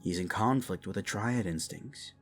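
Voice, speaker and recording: A young lord, learned and assured, explaining with calm authority in a lowish voice. A man acts him out over soft music. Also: moderate pace, natural voice. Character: young nobleman, learned and composed.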